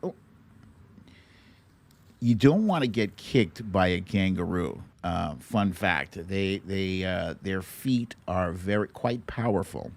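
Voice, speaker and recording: Playful male show host, animated pitch, unhurried. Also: vocal fry. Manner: playful, jokey, theatrical. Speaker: host of a live online show. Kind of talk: casual livestream chat, reacting to clips.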